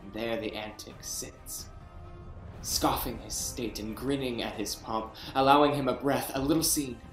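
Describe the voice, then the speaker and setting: An actor playing a proud young king in grief, reading Shakespeare verse from home over a video call, moving from hushed brooding to anguished outbursts. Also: mid-range voice, fairly even intonation, measured pace, close to an everyday voice. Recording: roomy echo, faint music.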